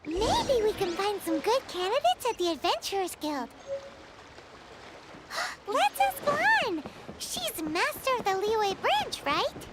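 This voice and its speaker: A woman voicing a small, cheeky childlike fairy companion in a video game, squeaky, bubbly and blunt. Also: very animated, takes it slowly, very noisy, heavily acted. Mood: eager, cheeky. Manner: bubbly, blunt, refers to self by name. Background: music.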